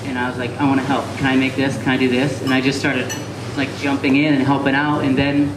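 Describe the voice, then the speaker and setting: A man's voice: medium pitch, fast talker, far from the mic. Young man chatting in an echoey cafe. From Connecticut, USA. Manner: relaxed and rambling, with many fillers. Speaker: chef, interview guest. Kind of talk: casual interview answers. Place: live talk event in a cafe. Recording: echoey room with background noise.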